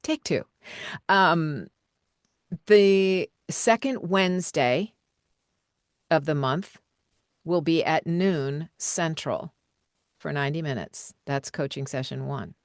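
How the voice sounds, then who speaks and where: Low-voiced woman coaching on a call. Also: slow pace, long pauses, dry recording, studio quality. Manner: conversational and relaxed, with frequent fillers and light laughs. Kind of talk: live video-call Q&A, answering questions. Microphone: video call.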